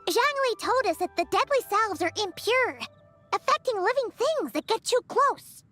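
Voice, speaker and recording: A woman voicing a small, bubbly, childlike fairy companion in a video game, excitable and blurting, with a squeaky voice. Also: normal speed, faint music behind, exaggerated voice. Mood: curious, puzzled. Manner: bubbly, blurting, refers to self in third person.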